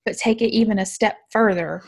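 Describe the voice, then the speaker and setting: A woman co-hosting a travel podcast over a video call, pitching ideas in an animated, laughing way. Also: brisk, no room sound. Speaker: podcast co-host. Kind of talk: casual chat. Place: home room, video call.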